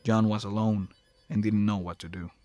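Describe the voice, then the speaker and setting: A man's voice: lowish voice, steady tone, moderate pace, mellow, faint music behind. A man reading scripted third-person narration for a dramatic audio story.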